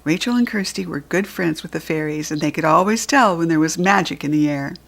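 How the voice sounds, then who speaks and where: A woman reading a children's storybook aloud, clearly and expressively. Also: sits low, fairly quick, a bit bright. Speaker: storybook reader.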